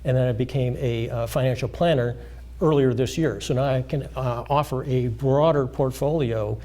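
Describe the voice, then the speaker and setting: A man being interviewed as a financial planner, explaining in a measured way with frequent pauses and fillers. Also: medium pitch, steady tone, warm tone. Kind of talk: interview answers. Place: high school TV studio.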